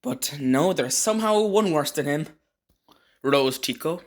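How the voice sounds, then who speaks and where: A man's voice: a little high, animated, measured pace. Casual, rambling man giving opinions. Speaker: video host ranking game voice actors. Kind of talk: casual, loosely scripted ranking commentary.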